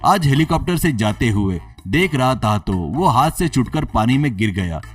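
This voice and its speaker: Guy doing a soft-hearted gangster don in a kids story, fatherly, very animated, music under it. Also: mid-range voice, normal speed, distant mic. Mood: sentimental, reassuring. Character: feared male gangster don, sentimental about his mother.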